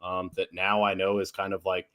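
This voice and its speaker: Casting: man in his 30s, lowish voice, easygoing interview guest, hesitant fillers. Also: completely flat delivery, moderate pace, sharp tone. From Texas and Oklahoma. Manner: many fillers and restarts, laughs easily. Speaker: guest, nonprofit founder and strength coach. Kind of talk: casual interview talk.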